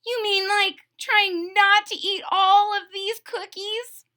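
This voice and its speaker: A woman voicing a wistful, doubtful male toad in a children's storybook, with plaintive, drawn-out questions and objections. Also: very high voice, steady tone, sharp tone, voice pushed up, heavily acted. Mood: puzzled. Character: wistful, cookie-loving male toad, doubtful and literal-minded. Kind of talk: children's storybook read-aloud.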